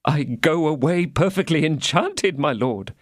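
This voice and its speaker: A man doing a fawning, high-strung mercer. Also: animated, unhurried, no echo, studio quality. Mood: gushing. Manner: anxious, gushing, disbelieving. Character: timid, fawning middle-aged male mercer.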